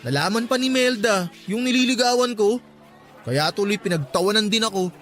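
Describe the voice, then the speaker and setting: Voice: medium pitch, lively intonation, fairly quick, a bit bright, faint music behind, natural voice. An actor playing a resentful, whining young son who talks back to his mother in a Tagalog radio drama. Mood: whining, defiant. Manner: complaining, talks back, sulky.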